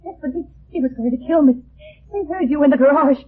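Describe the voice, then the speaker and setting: A thin, tinny old radio recording of a distraught young woman, played by an actress. Her high voice is frightened, halting and tearful, in melodramatic radio drama style. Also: natural voice. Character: terrified, distraught young female victim.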